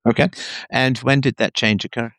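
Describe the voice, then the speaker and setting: A man hosting a probing interview, following each answer with a pointed logical question. His voice sits at an ordinary middle pitch and is recorded close and dry, with no room sound. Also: steady tone, normal speed, fairly bright tone, no room sound. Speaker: host interviewing a caller.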